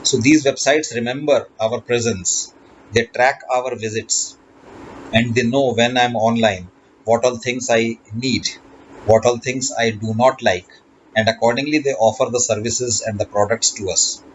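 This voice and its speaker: A man giving an explanatory lesson as a lecturer, speaking haltingly and rephrasing as he goes. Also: mid-range voice, steady tone. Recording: dull lo-fi recording with background noise.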